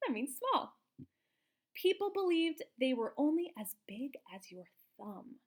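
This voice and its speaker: A woman reading a picture book aloud to young children in an expressive, explanatory way, like a teacher. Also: sits high, a little slow. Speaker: children's storybook reader, teacher.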